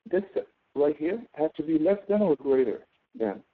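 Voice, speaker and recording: A man's voice: on the high side, lively intonation, normal speed. A man teaches through a thin, telephone-like connection. He explains a spreadsheet procedure step by step, as a tutor would, and often checks that the learner is keeping up. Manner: explanatory, often checks understanding with tag questions. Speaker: instructor, tutor. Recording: narrow tinny phone-like line.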